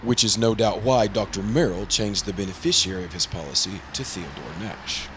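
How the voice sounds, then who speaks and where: A man's voice: fairly low pitch, normal speed, a bit bright, slight background noise, natural voice. A man playing a prim, earnest, easily flustered insurance broker in old-time radio drama style. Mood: worried, explanatory. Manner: prim, formal, exasperated. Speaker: supporting actor in a radio play.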